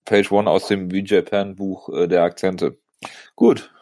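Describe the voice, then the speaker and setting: A man's voice: low voice, flat delivery, mellow. A man co-hosting a casual podcast chat, teasing the others and jumping in with short remarks. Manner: teasing, quick laughs, jumps in with short remarks. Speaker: podcast co-host.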